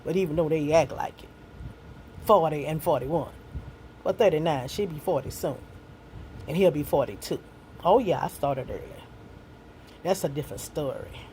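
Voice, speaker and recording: A woman's voice: deep voice, lively intonation, moderate pace, long pauses, a little mellow, a little hiss or hum, low-quality recording. A woman in her sixties, a single mother and grandmother, giving young people earnest, folksy life advice that mixes faith with plain street talk.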